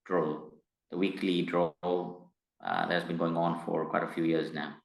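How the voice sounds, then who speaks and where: Man, middle-aged, from tanzania, very low voice, echoey room, hesitant then emphatic. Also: level tone. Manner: measured, hesitant with frequent uh fillers, grows emphatic. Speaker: guest, company executive. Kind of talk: interview conversation. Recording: roomy echo.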